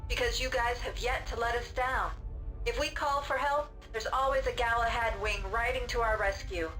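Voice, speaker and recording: An actress playing a supportive military flight controller in a space game, speaking in a formal, reassuring way. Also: female voice, a little high, fairly even intonation, normal speed, fairly bright tone, natural voice, low-quality recording. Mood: sympathetic, reassuring. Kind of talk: video game radio chatter. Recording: music behind the voice.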